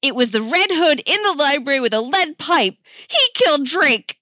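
Storybook kid played by a female reader, dramatic and accusing, shouting in a raised pitch. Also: animated, normal speed, no echo, clean studio sound. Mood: alarmed accusation.

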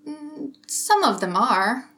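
A woman's voice: high voice, sing-song, slow pace, bright, forceful tone, exaggerated voice. Woman acting a dry, sensible female detective. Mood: dry, skeptical. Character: sensible, skeptical young female police detective.

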